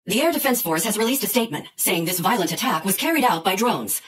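An actress playing an urgent, formal TV news anchor in a video game bulletin. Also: female voice, medium pitch, expressive, quick pace, recorded from a distance, a little hiss or hum, close to an everyday voice. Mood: urgent, grave.

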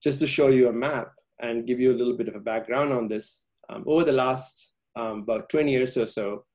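A man's voice: mid-range voice, a little fast, a little mellow. A man from Sri Lanka giving a public science talk over a video call, with many ums and uhs and frequent restarts.

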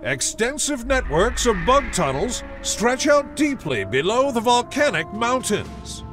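A grandiose military propaganda announcer declaims in a high voice with big swings of pitch. The game narration is patriotic and rousing, with loud music underneath. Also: male voice, very animated, unhurried, full character voice. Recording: loud music bed behind voice.

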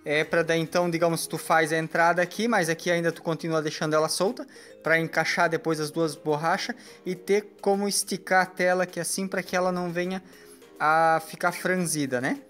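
A man's voice: on the high side, level tone, a little fast, a bit bright. Male tradesman, 30s, hands-on explainer, roomy workshop sound with faint music under him. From Brazil. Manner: plain explanatory, frequent tag questions, working while talking. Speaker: window installer. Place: large workshop indoors. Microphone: phone camera nearby. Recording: echoey workshop with background music.

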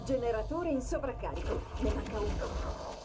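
A woman's voice: fairly high pitch, expressive, normal speed, very noisy, natural voice. An actress playing a female military AI companion in a video game, precise, articulate and matter-of-fact, with an urgent edge. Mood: focused, brisk. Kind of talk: video game voice acting in Italian dub.

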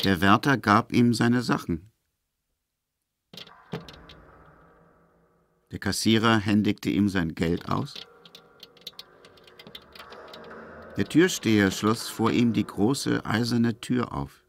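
A man plays the narrator of a dark literary radio drama. His voice sits low and is full of gravelly creak, telling a grim story in the third person. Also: a little slow, a little hiss or hum. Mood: measured narrating. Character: male third-person narrator of a grim tale about a released madman.

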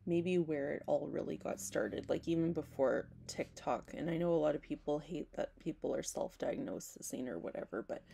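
A young woman talking casually to her camera at home, hoarse, rambling with lots of "like" and self-corrections. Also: deep voice, normal speed, few pauses, dark tone, slight background noise. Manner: rambling, self-interrupting, frequent "like". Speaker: vlogger. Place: small home room, indoors.

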